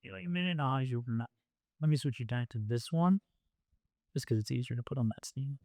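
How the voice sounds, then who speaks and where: A man's voice: medium pitch, brisk, darkish tone, dry recording. Offhand man, casual podcast host. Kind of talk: casual chat. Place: podcast studio.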